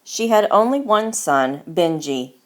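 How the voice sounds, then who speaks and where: A woman reading a children's story aloud as its author, in deliberate, expressive scripted narration. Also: fairly low pitch.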